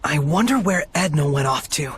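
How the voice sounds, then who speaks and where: A man's voice: a little high, expressive, moderate pace, fairly bright tone, a little hiss or hum. A man playing a good-natured young hero in a video game, sincere and easygoing. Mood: earnest. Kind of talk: English video game dub acting.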